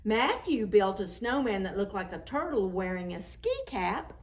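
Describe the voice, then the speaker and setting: A woman doing an expressive, playful picture-book read-aloud for preschool children. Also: mid-range voice, moderate pace. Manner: playful, acts out sound words. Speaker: children's storytime reader.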